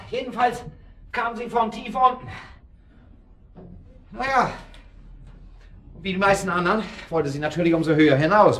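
An actor playing a worldly, condescending club man in a radio drama, rambling on and breaking off to hush his dog. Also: on the high side, a little hiss or hum. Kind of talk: naturalistic German radio drama acting.

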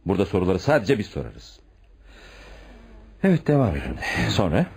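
A hard-nosed police commissioner in a radio play. His voice is deep and husky, with animated rises and falls. He is curt, sarcastic and suspicious as he presses his questions. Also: normal speed, full character voice. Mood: curt, needling. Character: blunt, tactless, suspicious male police commissioner. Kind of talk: radio theatre acting.

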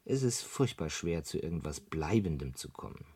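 A man playing a polite, bewildered ordinary Earthman in a radio drama, speaking in a rueful, apologetic way with a husky voice. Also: very deep voice, sing-song, normal speed, a little mellow, full character voice. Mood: exasperated, self-pitying. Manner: rueful, apologetic, self-pitying.

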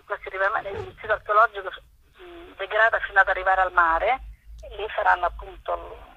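A woman's voice: mid-range voice, breathy edge, no room sound. A woman speaking as a guest organizer in a radio phone interview, over a muffled line.

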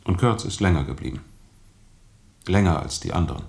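A man's voice: low-pitched, lively intonation, brisk, creaky, darkish tone. An actor playing a formal, inquisitive man who questions a captain with precise, probing words in a radio drama.

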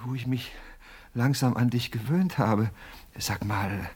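An actor in a radio play as a scheming businessman who wheedles self-importantly and is quick to lose patience. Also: medium pitch, darkish tone, breathy edge. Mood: coaxing. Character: scheming, hot-headed businessman haggling with a ghost.

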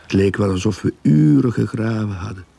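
An actor playing a haunted grave robber, narrating his grim tale in a husky, gravelly voice in a radio play. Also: fairly low pitch, lively intonation, a little fast, warm tone, a little hiss or hum. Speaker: first-person narrator. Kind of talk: dramatic first-person radio play narration.

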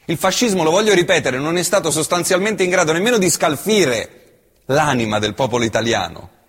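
A man performing a theatrical monologue, with impassioned declamation that swings between loud outbursts and hushed low lines. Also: lively intonation, brisk, breathy edge, big-room sound. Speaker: stage actor.

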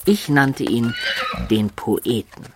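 A man's voice: mid-range voice, big pitch swings, slow pace, noisy background. An actor playing a medieval adventurer who recounts his student youth with wry, ornate storytelling in a literary radio drama. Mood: wry, reminiscing. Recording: studio radio drama with music beds.

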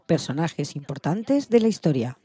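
Very mellow, low-voiced woman co-hosting. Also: big pitch swings, moderate pace, husky. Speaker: co-host of a children's radio programme. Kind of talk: radio presenting of programme sections.

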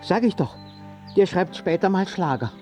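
An actor in a radio play playing a reluctant father and anguished artist, husky and pointed, with a dry, sardonic manner. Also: sits high, lively intonation, moderate pace, over music. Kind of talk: radio drama dialogue.

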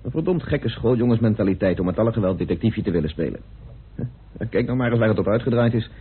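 An actor playing a troubled, stubborn amateur detective with an earnest, brooding manner in an old Dutch radio drama. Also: male voice, lowish voice, expressive, quick pace, breathy edge. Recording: noisy muffled tinny old radio recording.

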